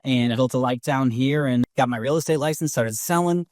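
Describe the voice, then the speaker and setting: A man's voice: mid-range voice, brisk, a little mellow, no echo, studio quality. A man in his forties with a slight Boston accent, chatting candidly as a podcast guest. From Brockton, Massachusetts, USA. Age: 40s. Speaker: podcast guest, real estate investor. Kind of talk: casual personal storytelling.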